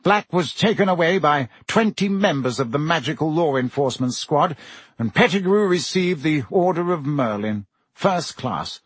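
A man voicing a pompous, anxious middle-aged male minister, fussy and earnest, with a husky voice. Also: a little high, fairly bright tone, dry recording, pitched above the performer's own voice, exaggerated voice.

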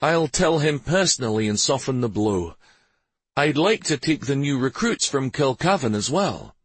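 A man's voice: medium pitch, animated, normal speed, slightly rough, pitched above the performer's own voice. A man voicing a dutiful, weary young male war master in a fantasy audiobook, with an earnest and sometimes sour manner. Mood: agreeable.

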